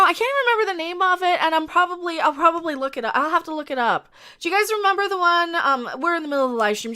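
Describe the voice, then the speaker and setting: A woman with a high, bright voice talks loosely to her live chat, sniffly throughout. She rambles and restarts her sentences, blunt and casual. Her voice comes through clean and smooth. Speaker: online commentator hosting a live stream.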